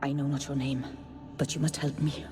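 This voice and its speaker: A woman's voice: rumbling low, level tone, fairly quick, over music. An actress playing a pious, sanctimonious dead noblewoman in a video game, haughty, formal and theatrical. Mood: indignant, scornful. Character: pious, sanctimonious nagging noblewoman ghost, long-suffering wife. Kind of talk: video game dialogue acting. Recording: long hall reverb.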